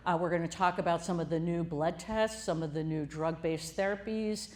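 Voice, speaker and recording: A woman with a somewhat low voice opens a health event in front of a live audience. She talks in a loose, conversational way, full of fillers. She introduces her guest in a friendly tone. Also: steady tone, moderate pace. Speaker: CEO hosting a health talk.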